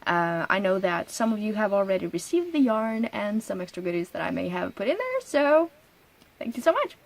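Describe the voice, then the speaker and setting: A woman in her thirties from Norway, hosting a crafting podcast in a chatty, casual way, laughing often and pausing with ums. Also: medium pitch, very animated, vocal fry, poor audio. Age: 30s. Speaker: knitting podcast host. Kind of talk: casual solo podcast chat.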